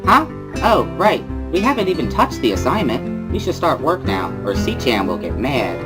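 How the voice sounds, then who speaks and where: A woman's voice: rumbling low, normal speed, loud music behind the voice, poor audio. A woman voicing a playful, bubbly schoolgirl in an amateur visual novel reading, girlish and exclamatory. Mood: cheerful. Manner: girlish, exclamatory, easily excited. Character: bubbly, playful schoolgirl interpreter who laughs loudly.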